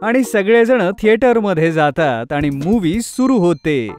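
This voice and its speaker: A woman narrating a children's cartoon story in a gentle, even storytelling manner. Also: fairly low pitch, unhurried, soft background music.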